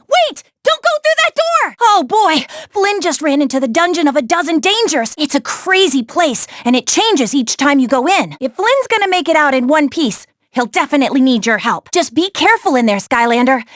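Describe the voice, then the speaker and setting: A woman's voice: high-pitched, fairly bright tone, no echo, clean studio sound. A woman playing a brave, sassy adventurer in a video game, briefing heroes with upbeat confidence and wry asides. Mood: alarmed, then wry, informative. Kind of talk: video game character voice lines.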